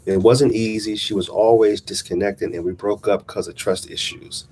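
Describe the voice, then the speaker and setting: A man's voice: on the low side, steady tone, moderate pace, darkish tone, slight background noise. A man with a congested, nasal voice talking casually and reflectively as a relationship coach in a vlog. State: congested, recovering from a sinus infection. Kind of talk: casual motivational chat, reading out a subscriber email. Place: small-town coffee shop.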